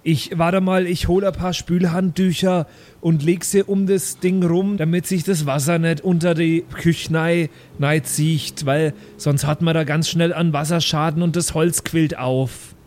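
A man's voice: fairly high pitch, steady tone, unhurried, darkish tone. A man playing a tabletop role-playing game, casually improvising his character's actions and talk.

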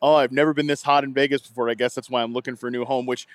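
A man in the host's chair of a casual podcast, talking in a loose, chatty way that is full of laughs and restarts. His voice sits at an ordinary middle pitch for a man. The sound is close and dry, with no room echo. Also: level tone, rapid-fire. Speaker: podcast host.

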